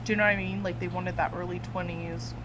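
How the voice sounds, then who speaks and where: A woman's voice: on the low side, level tone, quick pace, noisy background. A woman co-hosting a podcast, asking curious questions in casual chat. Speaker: podcast co-host.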